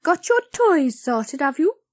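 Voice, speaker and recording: A woman voicing a nosy, greedy middle-aged village busybody who talks loudly and gushes with exclamations. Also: sits high, very animated, slow, no room sound, voice pushed up, heavily acted. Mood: gushing. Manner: loud, gushing, exclaiming.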